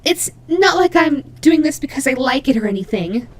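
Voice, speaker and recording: A woman's voice: high-pitched, expressive, normal speed. A woman playing a prickly but caring female nightmare demon rabbit in an audio roleplay, speaking to the listener with hesitant, teasing, flustered delivery. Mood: flustered, tsundere.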